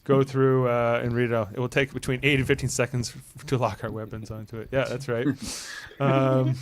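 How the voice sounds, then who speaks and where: A man hosting a casual group podcast chat, speaking in a halting, rambling way. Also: medium pitch, level tone, a little hiss or hum. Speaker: host of a fan script-reading podcast.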